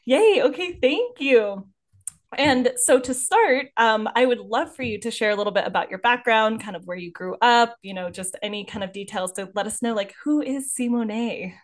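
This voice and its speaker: A young woman hosting a podcast, bubbly and quick to laugh, chatting with a friend. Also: on the high side. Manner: bubbly, laughs often, lots of "like" and "yay". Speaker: podcast host. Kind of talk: upbeat podcast chat.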